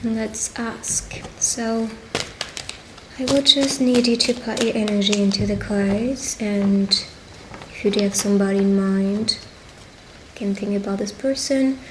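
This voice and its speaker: A woman speaking directly to the listener as a tarot reader in a witch roleplay, pausing as she goes. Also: mid-range voice. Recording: clear background ambient noise.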